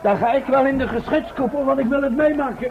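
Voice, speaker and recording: Eager assistant, very high-pitched. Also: male voice, quick pace. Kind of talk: vintage Dutch radio drama acting. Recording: dull, very noisy old radio.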